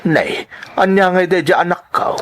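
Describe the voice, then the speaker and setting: A man acting a tender, worried older father in an Ilocano melodrama, with a husky voice, plaintive delivery and Ilocano accent. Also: high-pitched, lively intonation, a little slow, fairly bright tone.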